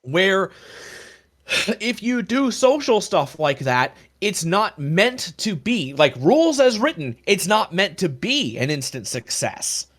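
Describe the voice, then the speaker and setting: A man's voice: sits high, very animated, takes it slowly, fairly bright tone. A man co-hosting a podcast in a casual, conversational discussion. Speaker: podcast co-host.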